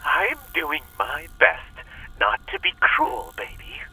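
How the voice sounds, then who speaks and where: Fanatical cult leader, horror audio drama, sharp forceful voice, swooping pitch, theatrical preacher delivery, menacing and pleading, distant taped recording. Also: male voice, wildly swooping pitch, slow, faint music behind, full character voice. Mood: pleading, urgent. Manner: theatrical, preacher-like, intense and manipulative. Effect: played back as a taped message.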